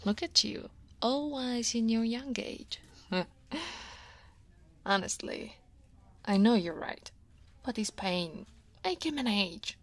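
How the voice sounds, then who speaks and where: Woman playing a breathy, slow-talking dragon lady coworker. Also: a little high, expressive. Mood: amused, rueful. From Italy. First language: Italian. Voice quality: soft, breathy. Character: female dragon office senior, guarded but teasing and flustered. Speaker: voice actor. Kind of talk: ASMR roleplay.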